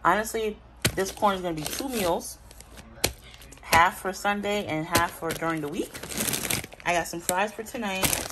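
A woman's voice: fairly low pitch, normal speed, pauses often, very noisy. A woman in her thirties chatting casually as she shows off her groceries at home, candid and unfiltered. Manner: chatty, candid, talks as she handles items off camera. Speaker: vlogger showing a grocery haul. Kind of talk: casual chat. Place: home, indoors. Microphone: phone camera held by the speaker.

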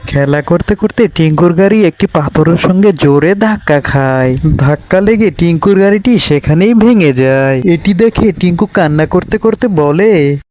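A man's voice: fairly high pitch, expressive, normal speed, darkish tone. A man reading scripted children's cartoon stories as narrator.

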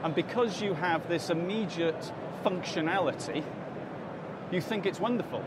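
A man's voice: high voice, normal speed. A man in his forties, a company director, explaining his service in an interview on a busy exhibition floor, with frequent fillers and restarts. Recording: loud hall noise behind. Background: crowd chatter and hall noise.

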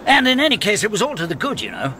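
An actor playing a genial, chatty magistrate in a radio drama, knowing and sly. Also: male voice, sits high, wildly swooping pitch, racing, pushed and bright, slight background noise, heavily acted. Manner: chuckling, knowing, conversational.

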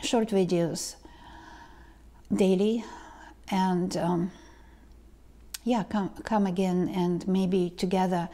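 A woman, talking slowly to camera as a tarot reader, pausing and restarting often. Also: fairly low pitch, steady tone, warm tone. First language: Ukrainian or Russian. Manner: slow, halting, many restarts and ums. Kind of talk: casual vlog talk to camera. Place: living room indoors. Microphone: lapel mic.

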